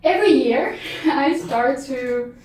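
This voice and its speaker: Young Russian-native woman guest, slow and hesitant, a little high, miked from a distance. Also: lively intonation, a little mellow, slight background noise. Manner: hesitant, many fillers, wry. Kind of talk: casual chat. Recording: echoey room, far from the mic.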